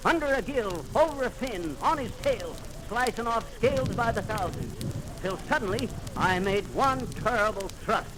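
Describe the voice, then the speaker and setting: An American man playing a boastful, folksy teller of tall fishing tales in 1930s radio comedy style, with theatrical, colloquial delivery. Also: high voice. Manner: bragging, theatrical storytelling. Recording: noisy vintage 1930s radio recording.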